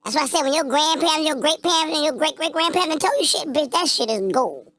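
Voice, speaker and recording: A woman's voice: sits high, quick pace, a crowd in the background. A woman interjecting in a casual commentary video, blunt and profane.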